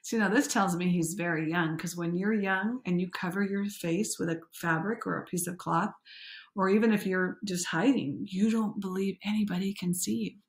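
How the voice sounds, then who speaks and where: A woman's voice: fairly low pitch, steady tone, normal speed. A middle-aged woman doing a picture-book read-aloud with chatty commentary, interjecting and putting questions to young listeners. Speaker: coach reading a picture book aloud to children.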